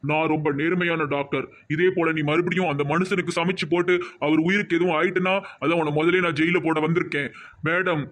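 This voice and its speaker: A man voicing excitable, fussy village men in a comic cartoon, emphatic, with a Tirunelveli Tamil accent. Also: a little high, fairly quick, close to an everyday voice. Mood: indignant. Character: excitable village men: indignant doctor, devoted newlywed husband.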